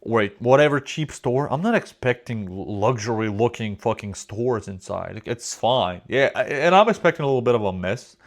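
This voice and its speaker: Casting: European man hosting a reaction video, rambling and profane, not a native English speaker, mid-pitched. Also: expressive, normal speed, few pauses. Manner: rambling, swears freely, trails off. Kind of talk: casual profane commentary.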